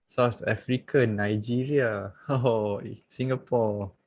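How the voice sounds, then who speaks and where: Low-voiced man coaching live trading. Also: takes it slowly. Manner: relaxed, chatty, laughs often, talks to the viewers. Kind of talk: casual live-stream trading lesson. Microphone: video call.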